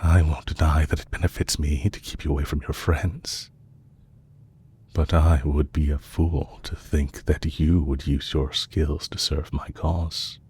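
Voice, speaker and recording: A man playing a composed, courteous villain leader who is possessive and lovestruck, speaking formally and deliberately in an intimate romantic audio roleplay. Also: rumbling low, flat delivery, darkish tone, a little hiss or hum. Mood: earnest, possessive. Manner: formal, deliberate, courtly phrasing with long pauses.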